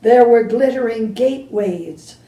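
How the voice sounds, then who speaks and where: A woman's voice: on the high side, a little slow, warm tone, highs cut off, close to an everyday voice. A woman reading a drowsy, grumbling old man. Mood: grumpy. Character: dreamy, grumpy old man with a ladder. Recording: echoey room, from a distance.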